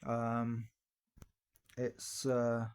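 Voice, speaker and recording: Man, casual tutorial, rambling, fillers and restarts, handheld mic, mid pitch. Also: completely flat delivery, drawn-out words, a little mellow, clean tone. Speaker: video host giving singing mic tips. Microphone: handheld dynamic mic, tilted.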